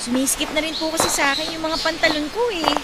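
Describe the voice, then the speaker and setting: Actress as a high-voiced young college daughter. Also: female voice, little pitch movement, fast talker, very noisy, natural voice. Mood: sheepish, polite. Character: young college daughter, working singer, polite but defensive. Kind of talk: Tagalog radio drama acting.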